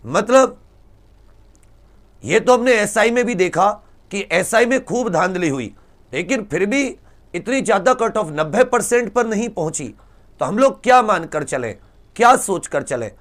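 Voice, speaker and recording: A man's voice: sits high, lively intonation, measured pace, fairly bright tone. A man explaining exam results to students as a teacher, informally and emphatically, repeating points and asking rhetorical questions. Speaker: exam-prep teacher and presenter.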